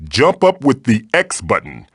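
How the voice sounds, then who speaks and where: A man's voice: mid-range voice, wildly swooping pitch, a little slow, fairly bright tone, breathy edge, dry recording, studio quality, full character voice. A man voicing a magical floating tiki mask in a video game, a fatherly guide who is theatrical, encouraging and wry. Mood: instructive. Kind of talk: video game character voice lines, tutorials and quips.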